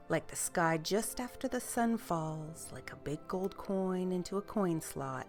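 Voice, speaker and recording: Female actor as cheerful, snug storyteller for small children; gentle wonder, low register, slow pacing, soft music underneath. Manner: gentle, wondering, drawn-out delivery for young children. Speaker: children's story show host. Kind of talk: gentle bedtime storytelling, reading letters aloud.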